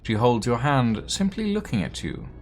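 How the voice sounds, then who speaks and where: A man streaming a text adventure game, reading its text aloud slowly and dramatically, with playful, animated asides. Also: medium pitch, slight background noise.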